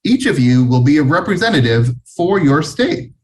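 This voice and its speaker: A man voicing patient schoolteachers in a storybook, explaining things to children in a measured way. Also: on the high side, sing-song, a little mellow, far from the mic.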